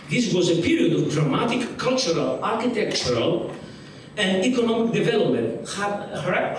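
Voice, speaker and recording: A man with a Greek accent reads a scholarly paper in a fairly high voice, often stopping to restart or repeat a word. He sounds distant from the mic, and a big echoing auditorium rings around his words. A faint room hum sits underneath. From Greece. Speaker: lecturer. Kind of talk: academic conference lecture read from a paper. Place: large museum auditorium.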